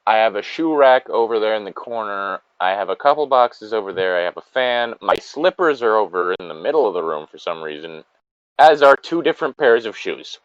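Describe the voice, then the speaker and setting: A man's voice: lowish voice, lively intonation, brisk, no echo. A man co-hosting a casual story reading, drifting into tangents and exasperated, sweary asides. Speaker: co-host reading a story aloud with commentary. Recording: dull lo-fi microphone.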